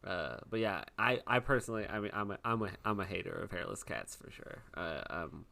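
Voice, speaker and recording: Low-voiced, animated man chatting casually. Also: creaky. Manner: rambling, halting, self-interrupting. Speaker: podcast host and player. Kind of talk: casual chat.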